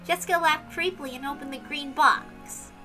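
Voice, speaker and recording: High, bright-voiced woman reading aloud. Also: moderate pace, faint music behind. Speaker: reader and playthrough host. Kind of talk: visual novel narration with casual asides.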